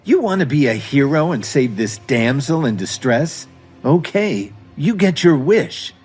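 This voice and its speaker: A man's voice: a little high, sing-song, a little slow, darkish tone, breathy edge, soft background music, voice pushed up, heavily acted. A man playing a desperate, sneering kidnapper in an audio drama, agitated and taunting.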